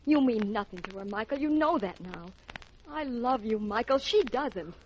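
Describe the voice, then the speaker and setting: An actress playing a lovelorn, possessive mistress in an old radio melodrama, intense and melodramatic. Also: female voice, a little high, animated, normal speed, lightly acted. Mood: pleading. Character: lovelorn, possessive mistress, pleading yet menacing. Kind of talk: old-time radio drama acting. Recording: dull, faintly noisy vintage radio recording.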